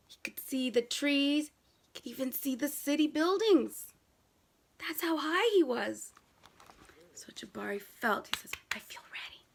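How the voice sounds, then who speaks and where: A woman's voice: high voice, fairly bright tone. A woman reading a picture book aloud to young children, asking them questions and repeating key words for emphasis.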